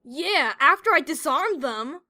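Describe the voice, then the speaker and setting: A woman's voice: high voice, lively intonation, a little slow, pushed and bright, dry recording, full character voice. A woman voicing a brash, stubborn female pegasus adventurer in an audio drama, with a cocky, defensive delivery. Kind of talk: cartoon-like audio drama character voice.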